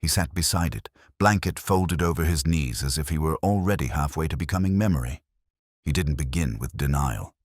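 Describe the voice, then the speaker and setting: A man narrating a scripted first-person detective story as a weary, introspective investigator, in measured, brooding, literary short phrases. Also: a bass voice, no echo, clean studio sound.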